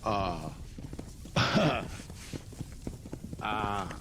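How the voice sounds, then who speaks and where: An actor playing a cowardly, blustering townsman in a Western video game, with an excitable delivery. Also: on the low side, drawn-out words, noisy background, exaggerated voice. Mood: nervous, fawning. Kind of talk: video game cutscene acting.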